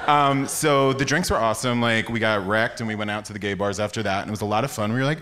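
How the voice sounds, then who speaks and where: An American man, a guest on a live podcast, telling casual comic stories in an animated, slangy way. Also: fairly low pitch, fast. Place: theater stage before live audience. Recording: noisy live stage recording, clicks.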